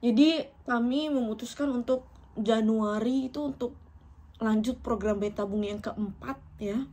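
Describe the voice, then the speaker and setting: A woman's voice: a little high, warm tone, husky. A woman in her thirties from Indonesia, talking directly to her viewers in an emotional, personal vlog, often breaking into laughter. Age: 30s. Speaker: vlogger.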